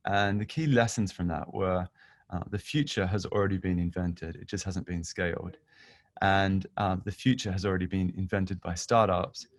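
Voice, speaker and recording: Australian male speaker, middle-aged, lower-pitched, informal business presentation, dry recording. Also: fairly even intonation, normal speed, dry recording. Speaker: guest speaker, business founder and session lead. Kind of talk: informal presentation telling own career story.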